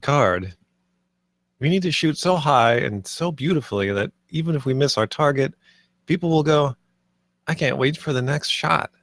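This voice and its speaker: A man's voice: a little high, moderate pace, a bit bright, no room sound, clean studio sound. A man playing an earnest, idealistic theme park designer in a screenplay table read, with a speechlike delivery. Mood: sincere, hopeful.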